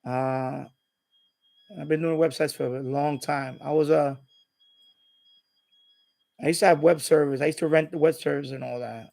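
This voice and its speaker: A man's voice: fairly high pitch, fairly even intonation, normal speed, creaky, pauses often, a little mellow. A middle-aged man chatting casually and unscripted as a live stream host, rambling and repeating himself as he explains.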